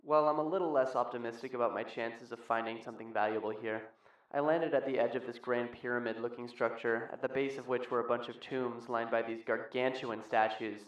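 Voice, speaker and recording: An actor playing an uneasy, debt-ridden treasure hunter and lapsed Jedi, confiding a scripted sci-fi horror audio log in a conversational way. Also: male voice, mid-range voice, steady tone, a little fast, natural voice. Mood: uneasy, downcast. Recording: muffled, roomy, very noisy log recording.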